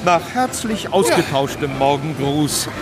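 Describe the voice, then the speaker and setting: A courtly, devoted travel companion recounts his diary in a formal, measured way. His voice is a little high, with loud music behind it. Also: sing-song. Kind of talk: scripted diary narration in a radio drama.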